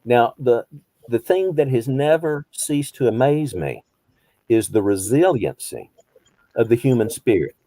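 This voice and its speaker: A man's voice: medium pitch, lively intonation, a little slow, warm tone, no echo. A man in his fifties, a behavioral health counselor, teaching a small group conversationally, posing rhetorical questions and repeating phrases for emphasis. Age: 50s.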